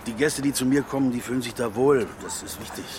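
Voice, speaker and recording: A man's voice: mid-range voice, fairly even intonation, fast talker, lightly acted. A man playing a stubborn, contented old bar owner in a radio drama, speaking plainly and tersely. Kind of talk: naturalistic radio drama acting. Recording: noisy outdoor traffic ambience.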